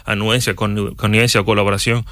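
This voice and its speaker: A man's voice: on the low side, little pitch movement, quick pace, fairly bright tone, dry recording, studio quality. A man, arguing his points as a panelist in a televised political debate. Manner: argumentative, reasoned, with self-corrections.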